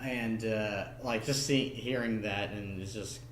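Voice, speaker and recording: A man chatting casually on a podcast about cartoons and games, halting and peppering his talk with "like". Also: fairly low pitch, steady tone. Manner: halting, many "like" fillers. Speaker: podcast guest or co-host. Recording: roomy echo, noisy background.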